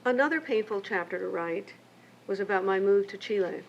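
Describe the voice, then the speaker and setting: An older woman, raised between Mexico and the United States, reads a prepared keynote speech in a measured, reflective way from her pages. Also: mid-range voice, animated. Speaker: keynote speaker and memoirist. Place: lecture event. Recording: muffled lo-fi with faint hiss.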